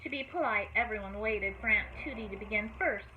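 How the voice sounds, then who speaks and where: A teacher reading a picture book aloud to children, expressive and asking them questions along the way. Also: female voice, medium pitch, fairly quick. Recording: tinny phone-like audio, faint noise.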